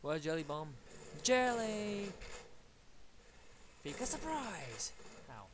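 A man's voice: sits high, very animated, extremely slow, crowd noise. A young man from Bulgaria with a Bulgarian accent, casually commentating his game at home, rambling and swearing playfully at enemies. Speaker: gamer commentating his play. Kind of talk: casual let's-play commentary. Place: at home at a computer. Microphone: awkwardly placed desktop mic.

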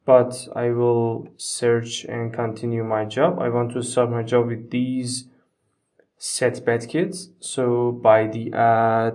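Slow-spoken man teaching software, mellow voice. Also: mid-range voice, completely flat delivery, recorded from a distance. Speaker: software tutorial instructor. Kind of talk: step-by-step screen tutorial narration.

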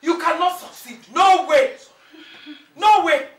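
A woman's voice: medium pitch, expressive, a little slow. An actress playing an elderly, fiery, aggrieved mother-in-law in a film melodrama, with a Nigerian Igbo accent and an emphatic, repetitive delivery. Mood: furious, commanding. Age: older. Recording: roomy, distant mic with loud noise.

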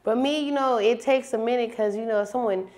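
A woman co-hosting a studio interview, chatty and playful, with a high, slightly whiny voice. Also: a little fast, darkish tone. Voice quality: high-pitched, whiny by her own account. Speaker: co-host. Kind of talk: casual interview chat. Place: studio set.

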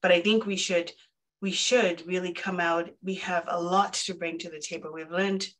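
A woman's voice: lowish voice, fairly even intonation, normal speed, fairly bright tone. A woman from Zimbabwe speaking on a webinar panel, earnest and encouraging in an easy conversational way. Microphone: video call.

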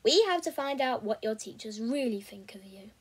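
A woman's voice: sits high, animated, moderate pace, a little mellow, breathy edge. A woman voicing a sly, streetwise schoolboy who talks in a dry, teasing, matter-of-fact way.

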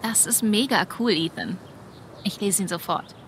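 A woman's voice: mid-range voice, normal speed, a little hiss or hum, lightly acted. Wry young woman in a story game, acted. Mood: friendly, warm. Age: young adult. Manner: dry, casual. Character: wry, friendly young woman, long separated from her brother. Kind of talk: naturalistic video game dialogue and inner monologue.

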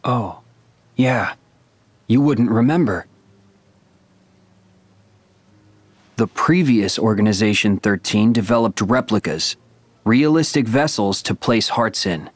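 A young man voicing a calm, earnest hero in a video game, measured and sincere. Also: fairly low pitch, big-room sound. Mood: calm, explaining.

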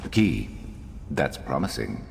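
An actor playing a gruff, vengeful lizard-man companion in a video game, wry but earnest, speaking in a measured, brooding way. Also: very deep voice, big pitch swings, a little hiss or hum, heavily acted. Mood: dry, wry. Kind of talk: video game character dialogue.

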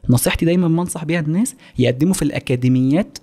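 Fast-talking young Egyptian man. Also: on the high side, mellow. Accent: Egyptian Arabic. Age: 20s. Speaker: podcast guest. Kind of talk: casual podcast chat. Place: small home-style studio office, indoors. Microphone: close studio microphone on boom arm.

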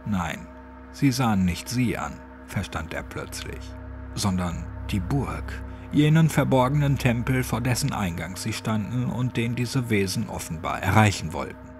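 A man's voice: fairly low pitch, expressive, unhurried. A man narrating a scripted horror story as an audiobook. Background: music.

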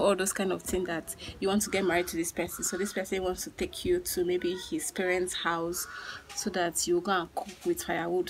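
A woman in her twenties with a Nigerian accent chats casually and emphatically to the camera, repeating phrases for stress. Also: on the low side. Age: 20s. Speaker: vlogger. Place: outdoors in a village yard. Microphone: camera at arm's length. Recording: noisy outdoor phone-style recording with clicks.

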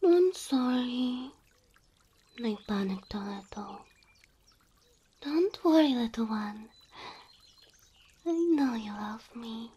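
A woman voicing a gentle, devoted, insecure cow girl girlfriend in soft, halting, intimate ASMR roleplay, often whispering. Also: fairly high pitch, expressive, breathy edge, lo-fi sound, full character voice. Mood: anxious, unsure.

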